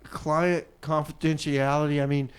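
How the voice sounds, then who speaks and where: A male radio host doing loose comedy talk. His voice is slightly high and very clean and smooth, and he hedges and repeats phrases as he goes. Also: level tone, a little slow. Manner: hesitant, repeats himself.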